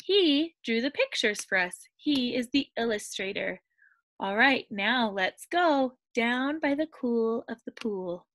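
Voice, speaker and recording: A middle-aged woman teacher reads a picture book aloud for young children in a sing-song, expressive storybook voice. Her voice sits high and swoops up and down, and she takes it slow and clear. The webcam sound is close and dry. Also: no echo. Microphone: webcam on a computer.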